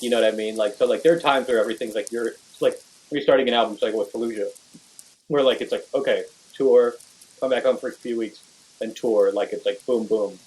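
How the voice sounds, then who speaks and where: A young man, a bass player, chatting loosely in a podcast interview, filling his talk with "like" and "you know". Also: darkish tone, low-quality recording. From Venezuela. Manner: rambling, full of "like" and "you know".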